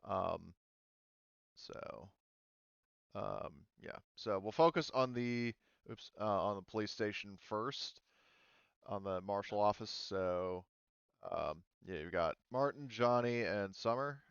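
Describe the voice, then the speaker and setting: A man running a tabletop roleplaying game as game master in casual talk, thinking aloud with many pauses. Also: lowish voice, gravelly, clean tone, no room sound, muffled recording.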